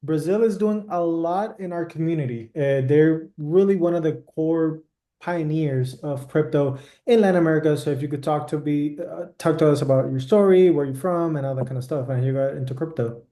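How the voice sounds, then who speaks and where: A man's voice: on the high side, a little fast, warm tone, roomy sound. A man hosting a casual video interview, asking loose, upbeat questions full of fillers. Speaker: interview host.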